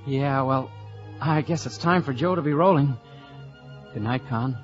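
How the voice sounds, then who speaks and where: An actor playing an affable, glib American detective with an easygoing, wry manner in old radio drama style. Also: male voice, medium pitch, measured pace, soft background music, lightly acted. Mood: breezy. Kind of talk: 1940s-50s radio acting. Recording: dull old radio recording.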